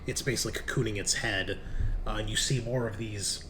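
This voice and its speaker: A man's voice: on the low side, steady tone, a little fast. A man narrating a tabletop horror game as game master, in a conversational way. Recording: noticeable background noise.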